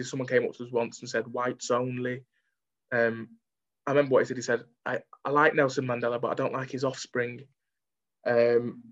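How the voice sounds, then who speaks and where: A man's voice: medium pitch, lo-fi sound. A young man from Manchester with a Mancunian accent, talking candidly as an interview guest. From Manchester, England.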